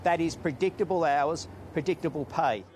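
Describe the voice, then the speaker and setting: A man's voice: on the high side, normal speed, darkish tone, a little hiss or hum. A man giving an explanatory soundbite in a news interview.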